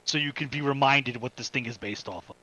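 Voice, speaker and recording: A man's voice: mid-range voice, brisk, bright, forceful tone, poor audio. A man co-hosting a podcast in casual, informal banter with some swearing. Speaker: podcast co-host. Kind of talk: casual chat, film ranking banter.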